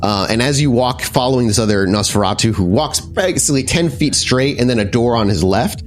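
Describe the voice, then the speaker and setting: A man's voice: medium pitch, animated, a little fast, faint music behind. A man narrating a tabletop horror game as game master, improvising wordy scene descriptions with casual asides.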